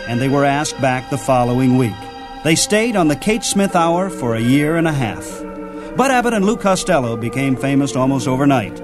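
An American man hosting a radio program, reading scripted narration over music. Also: a little high. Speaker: radio show host. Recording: music behind the voice.

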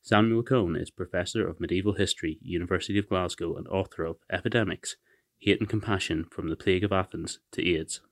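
A man's voice: deep voice, fairly even intonation, a little fast, gravelly, dry recording, studio quality. A man reading scripted introductions and author credits as an announcer.

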